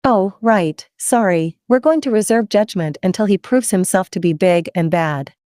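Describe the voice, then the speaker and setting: A woman's voice: medium pitch, normal speed, darkish tone, no room sound, studio quality. A woman hosting story time for children, playful and chatty, putting questions to her young listeners.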